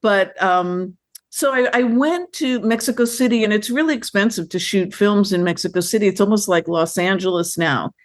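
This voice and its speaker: A woman filmmaker being interviewed, telling stories about her work in a lively, chatty way with many fillers and restarts. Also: mid-range voice, normal speed. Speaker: filmmaker guest. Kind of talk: conversational interview.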